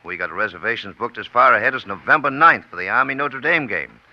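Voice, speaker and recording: A man plays a brusque, harried American hotel clerk in a 1940s radio vignette. The voice is medium-pitched. The old recording is dull and boxy. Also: lively intonation, fast, lightly acted.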